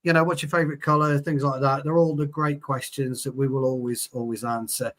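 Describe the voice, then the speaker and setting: A man's voice: mid-range voice, little pitch movement, fairly quick, a bit bright. A man hosting a casual football podcast, mocking himself as he wraps up the segment. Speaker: podcast host. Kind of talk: casual chat.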